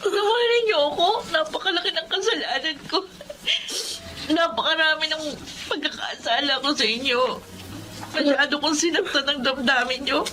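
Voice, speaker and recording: High-voiced, emotional young daughter. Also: female voice, moderate pace, pushed and bright, slight background noise, rough recording. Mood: remorseful, pleading. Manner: emotional, pleading, dramatic. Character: tearful young woman, remorseful daughter and loyal cousin. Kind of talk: Filipino radio drama acting. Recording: dramatic music underneath.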